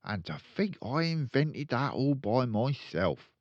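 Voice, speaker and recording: A man voicing a crooked, boastful, bullying middle-aged father in a children's storybook, loud and emphatic. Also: medium pitch, big pitch swings, slow, no room sound, dull audio, pitched above the performer's own voice, exaggerated voice. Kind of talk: children's storybook read aloud.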